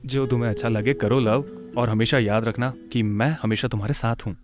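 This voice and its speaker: A man playing a confident, laid-back teenage boy in an animated story, speaking in a cool, self-assured way. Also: medium pitch, a little fast, soft background music, close to an everyday voice. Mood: supportive. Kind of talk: animated dialogue in Hindi.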